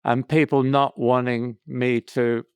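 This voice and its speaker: A man's voice: mid-range voice, level tone, slow, a little mellow, clean tone, dry recording. A man hosting an interview podcast, asking long, musing questions with hesitations. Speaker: podcast host.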